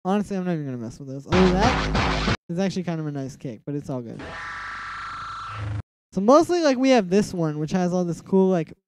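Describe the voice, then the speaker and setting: A young man with a nasal voice hosting a casual music production livestream from a small room at home. He talks in a relaxed, rambling way, full of "like" fillers, and mumbles asides to himself. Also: high voice, a little fast, long pauses, low-quality recording.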